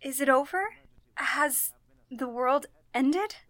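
An actress playing a panicky young telephone operator in a radio play, alarmed and excitable. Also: female voice, sits high, takes it slowly, no room sound. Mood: panicked.

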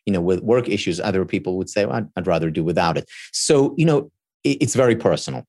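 A low-pitched man whose first language is Hebrew, talking as an interview guest. He explains in an earnest way, builds his points with examples and circles back on words while he thinks. The sound is dry and close. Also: lively intonation, quick pace, a little mellow, dry recording. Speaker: guest expert. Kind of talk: thoughtful interview talk.

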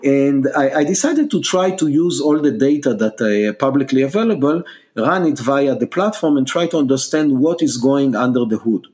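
A man with an Israeli accent, a scientist and company co-founder, telling his story as a podcast guest in a measured, hesitant way with many fillers. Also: mid-range voice, expressive, darkish tone. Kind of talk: podcast interview.

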